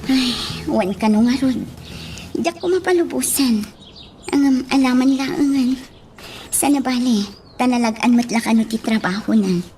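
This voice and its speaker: A woman's voice: a little high, moderate pace, long pauses, dark tone, a little hiss or hum, lightly acted. A woman playing a concerned, reluctant woman in a radio drama. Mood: worried.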